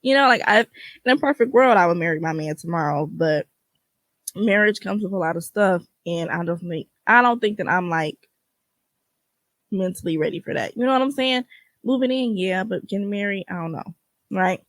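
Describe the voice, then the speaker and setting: A woman's voice: medium pitch, moderate pace, dry recording. Girl in her 20s doing a chatty podcast, blunt and slangy, really dry close audio. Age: 20s (twenty-three). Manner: conversational, slangy, frank, swearing freely. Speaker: podcast host. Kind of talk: casual, candid solo podcast chat.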